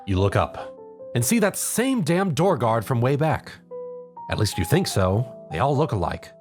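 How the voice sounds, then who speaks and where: A male narrator reads a scripted story in the second person. His delivery is lively and moves around in pitch, with music playing softly behind him. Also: moderate pace. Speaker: fan fiction narrator. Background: background music.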